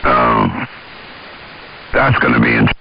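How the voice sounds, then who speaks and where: Very croaky American man on CB radio. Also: rumbling low, wildly swooping pitch, slow. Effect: CB radio transmission. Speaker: CB radio operator. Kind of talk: casual radio chat. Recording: hissy, muffled CB radio signal.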